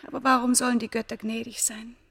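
Breathy, high-voiced noblewoman in love. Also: little pitch movement, fast, a bit bright. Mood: anxious, sorrowful. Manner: formal, poetic, breathy delivery. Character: noble governor's daughter of ancient Egypt, passionately in love. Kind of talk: German radio play acting.

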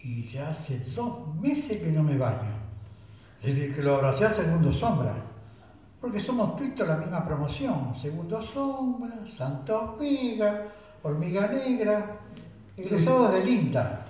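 A man voicing a boastful rustic sergeant in a comic radio play, telling tall tales in a deadpan, mock-solemn way. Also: sits high, unhurried. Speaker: radio drama actor. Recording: very echoey room, voice far from mic.

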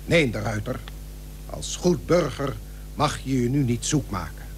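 An actor playing a grave, persuasive seventeenth-century shipowner and statesman in a historical radio play, speaking measured, formal archaic Dutch. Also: mid-range voice, lively intonation, close to an everyday voice. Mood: urging. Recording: old radio recording with hum and hiss.

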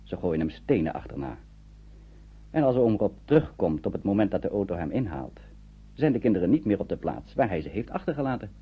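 A low-voiced man as a radio play storyteller, husky and grave. His scripted narration comes through a narrow, phone-like old recording. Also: big pitch swings, a little fast, full character voice. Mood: grave, dramatic. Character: storyteller narrating a tense wartime journey.

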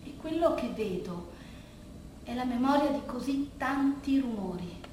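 A woman's voice: a little high, animated. Female monologue actress, conversational bittersweet storyteller, recorded from a distance in a strongly reverberant room. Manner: halting, conversational storytelling with dropped, hushed asides. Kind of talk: bittersweet theatrical monologue in a colloquial first person. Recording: very roomy, distant stage recording, faint noise.

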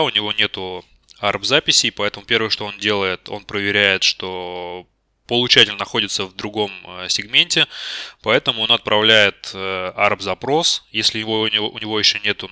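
Man with a very bright, sharp voice. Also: on the low side, smooth, no echo, clean studio sound. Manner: hesitant, self-correcting. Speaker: job candidate, network engineer. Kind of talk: answering technical interview questions.

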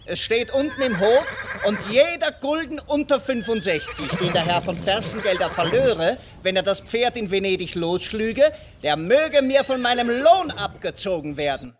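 An actor playing a brash, crude young manservant who wheedles and boasts in a radio play. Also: male voice, high-pitched, expressive, moderate pace, slight background noise. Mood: boastful.

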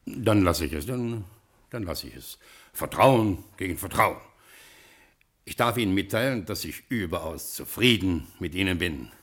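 Deep-voiced, pompous, anxious gentleman. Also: lively intonation, normal speed, husky, heavily acted. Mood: worried, dignified. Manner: pompous, formal, hesitant. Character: stiff, gullible, anxious gentleman lord, master of house. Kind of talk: 1960s German radio play acting.

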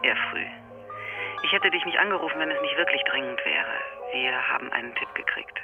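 A woman's voice: sits low, brisk, phone-quality sound, over loud music. An actress playing an insistent federal policewoman calling a colleague in a radio crime drama. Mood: urgent.

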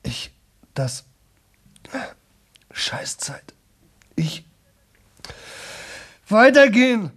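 An actor playing a young man in a radio play, exhausted and desperate, with a sore, rough throat, talking on in halting, repeated fragments. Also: high-pitched, sing-song, fairly bright tone, heavily acted. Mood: desperate, exasperated, then pleading, broken. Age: young adult. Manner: halting, repetitive, broken fragments. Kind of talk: 1970s German radio play monologue.

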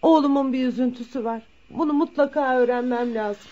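Woman acting anxious high-voiced mother, dull recording. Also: fairly quick, mellow, natural voice. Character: worried, gentle mother of a troubled grown son. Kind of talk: Turkish radio theatre acting. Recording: dull lo-fi radio drama recording.

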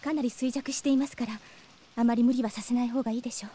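A woman playing an earnest, gentle young girl in an anime audio drama, speaking politely. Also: a little high, fairly even intonation, fast, slight background noise, close to an everyday voice. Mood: polite concern.

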